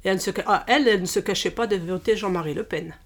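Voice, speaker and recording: Halting woman in her fifties, Portuguese-born. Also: mid-range voice. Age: 50s. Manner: halting, many pauses and restarts. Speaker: interviewee, childminder. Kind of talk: casual interview conversation.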